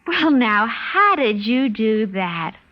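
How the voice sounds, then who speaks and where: An actress playing a brisk, self-satisfied American wife quizzing her husband in a mid-century radio advertisement. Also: a little high, sing-song. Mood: testing, pointed. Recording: dull old radio recording.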